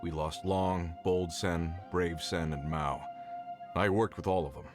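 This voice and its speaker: An actor playing an earnest, worried mine foreman in a video game who speaks gravely. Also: low-pitched, fairly even intonation, unhurried. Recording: game audio with background music. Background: game music.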